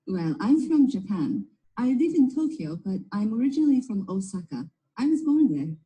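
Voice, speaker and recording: A woman reads scripted dialogues for English textbook audio. Her delivery is plain, and her tone is very mellow and dark. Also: medium pitch, sing-song, moderate pace, rough recording.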